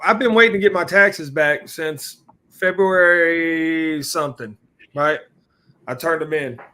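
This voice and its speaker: A man's voice: on the high side, measured pace, sharp tone. A man from Louisiana with a Southern US accent, talking in a laid-back, rambling way on a casual podcast. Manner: laid-back, rambling, often says "you know what I mean". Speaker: co-host.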